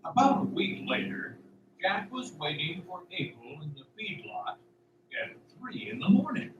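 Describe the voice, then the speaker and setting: A male narrator telling a scripted story in a high, bright, animated voice. He sounds a little distant in a roomy space, with faint music behind him. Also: unhurried, lo-fi sound, noisy background.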